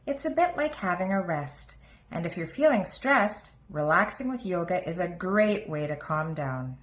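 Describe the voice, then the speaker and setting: A woman's voice: fairly low pitch, expressive, normal speed, close to an everyday voice. A woman voicing a kindly female gazelle playgroup teacher who explains things to small children in a storybook. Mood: reassuring, explaining.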